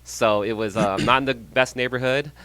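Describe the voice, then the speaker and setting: A man's voice: mid-range voice, fairly even intonation, sharp tone, smooth, dry recording, poor audio. A man in his thirties from San Jose, California, a real estate agent talking casually as a podcast guest with plenty of ums. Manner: friendly, measured, with frequent ums and small laughs.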